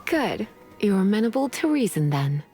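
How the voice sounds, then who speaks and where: Woman as an elegant, teasing witch librarian, animated. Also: medium pitch, moderate pace, faint music behind, natural voice. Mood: languid, courteous. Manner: poised, teasing, condescending. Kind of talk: video game voice acting.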